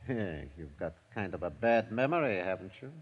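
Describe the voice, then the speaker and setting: An actor playing a tough, sarcastic police detective in a 1950s radio drama, questioning in a dry, blunt way. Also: male voice, low-pitched, big pitch swings, unhurried, breathy edge, narrow, tinny audio, heavily acted. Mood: stern, sarcastic. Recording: faintly noisy 1950s radio recording, clicks.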